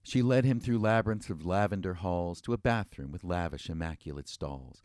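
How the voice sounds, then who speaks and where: A man's voice: low voice, moderate pace, darkish tone, no echo, clean studio sound. A man narrating a scripted fairy tale in rhythmic verse, with a wry, tongue-in-cheek delivery. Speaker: storyteller.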